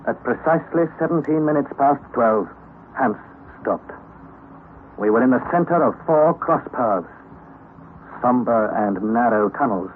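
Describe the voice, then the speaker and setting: A man as a young nephew narrating, lively varied pitch, measured storytelling, tinny old radio with faint hiss. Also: close to an everyday voice. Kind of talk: 1930s radio drama narration.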